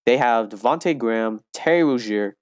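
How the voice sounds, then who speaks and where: A young man with a loud voice giving casual game commentary, rambling and restarting his sentences often. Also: medium pitch, moderate pace, no echo, studio quality. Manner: rambling with frequent restarts and fillers. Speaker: gaming commentator.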